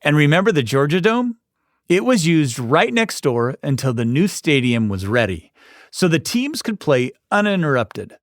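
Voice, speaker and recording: A man's voice: on the high side, big pitch swings, moderate pace, dry recording, clean studio sound. A man reading scripted, informative narration as the host of a documentary series.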